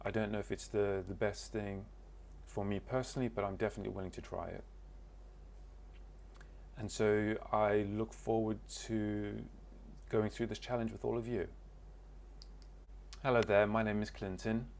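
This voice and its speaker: A man's voice: lowish voice, little pitch movement, normal speed, gravelly, long pauses, darkish tone, slight background noise. A man from Cornwall, introducing himself to camera in a casual, hesitant way, often stopping to search for words. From Cornwall, England. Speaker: language learner.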